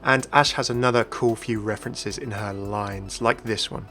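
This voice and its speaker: A man's voice: fairly low pitch, steady tone, normal speed, pushed and bright. A man presenting a chatty, inquisitive explainer as a gaming video host, scripted with casual asides. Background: faint background music.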